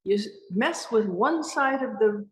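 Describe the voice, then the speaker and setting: A woman's voice: on the high side, animated, measured pace. A woman from Sweden and Norway, a guest artist talking earnestly and passionately in casual interview talk at her exhibition. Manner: earnest, passionate, with frequent restarts. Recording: echoey room.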